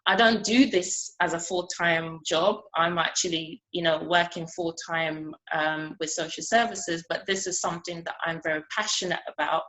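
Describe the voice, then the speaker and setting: A woman from Ghana gives a conference talk about her art. Her voice sits a little low, and she speaks in an earnest, conversational way. She pauses often with a soft "um" between thoughts. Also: low-quality recording. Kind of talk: conference speech.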